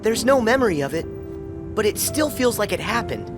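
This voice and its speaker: Friendly young hero, teenage boy, earnest, animated intonation, game cutscene, music behind. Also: high-pitched, brisk. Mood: grateful, wistful. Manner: earnest, heartfelt.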